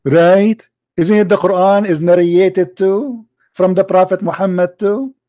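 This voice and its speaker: A man's voice: high voice, measured pace, mellow. A man whose first language is Arabic, arguing hard in a heated religious debate, cutting in and repeating himself. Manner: combative, interrupting, repeating himself.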